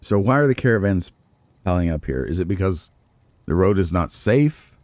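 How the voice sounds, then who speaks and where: A man's voice: low voice, expressive, moderate pace, darkish tone, no room sound. A man giving casual let's play commentary on a video game, musing to himself and poking fun at himself. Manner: musing to himself, self-deprecating. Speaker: gaming video host, let's player.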